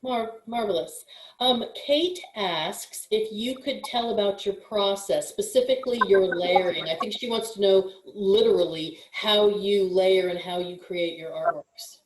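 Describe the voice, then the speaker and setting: A woman speaks as the host of an online talk, passing along questions from viewers. Her pitch is average, and the sound around her voice is noticeably roomy. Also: a little slow. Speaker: host, museum curator. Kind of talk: moderating an online talk, relaying audience questions.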